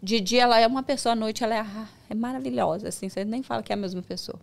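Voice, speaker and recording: A woman chatting as a podcast guest, telling her story with lively jumps in pitch when she gets excited. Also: racing, gravelly. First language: Portuguese. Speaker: podcast guest, micropigmentation professional. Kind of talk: casual conversation.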